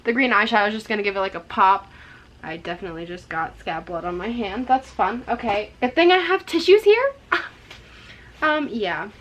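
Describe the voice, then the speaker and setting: Young woman vlogging a makeup tutorial, kinda hoarse, rambly and chatty. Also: mid-range voice, expressive, normal speed, a bit bright. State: slightly hoarse from a cold.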